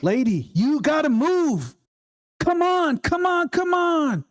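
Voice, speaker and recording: Woman playing an urgent firefighter, wildly swinging pitch. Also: sing-song, slow, shouting, exaggerated voice. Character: urgent firefighter bellowing orders amid disaster. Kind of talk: screenplay table read.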